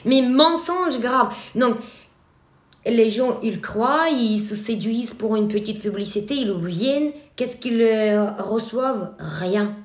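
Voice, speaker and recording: A woman with a Ukrainian accent and a fairly high voice teaches a live online workshop as an eyelash extension trainer. She speaks in a hesitant way, filling pauses with filler sounds and restarting her sentences. The voice rings in an echoey room. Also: expressive.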